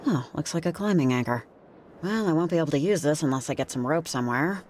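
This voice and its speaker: A woman's voice: low-pitched, lively intonation, fast, noisy background. A woman playing a bewildered but practical female protagonist in a video game, with a natural, everyday delivery.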